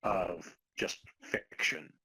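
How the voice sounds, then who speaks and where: A man's voice: extremely slow, pushed and bright, dry recording. A man co-hosting a comedy podcast, alternating mock-dramatic story narration with casual chat. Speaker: podcast co-host.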